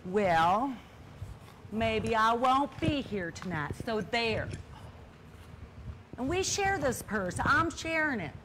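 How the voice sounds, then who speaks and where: Actress as stubborn old spinster, higher-pitched, huffy, emphatic, stage comedy, loud background noise. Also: female voice, sing-song, takes it slowly, long pauses. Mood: defiant. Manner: defiant, huffy, emphatic repetition. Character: stubborn older spinster sister, a restless nurse. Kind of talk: comedic stage play dialogue.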